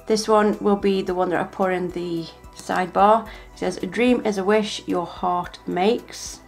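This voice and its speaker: A woman's voice: mid-range voice, steady tone, a little slow, faint music behind. A woman chatting casually as she shows off planner stickers, gushing and restarting her sentences. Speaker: planner vlogger. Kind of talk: casual show-and-tell narration.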